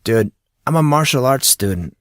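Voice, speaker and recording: A man acts out a teenage boy for an audiobook, an enthusiastic martial arts student. He talks in loose, slangy bro-talk with lively swings in pitch. The sound is dry and close. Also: normal speed, no echo, close to an everyday voice. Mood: casually impressed. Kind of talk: audiobook character dialogue.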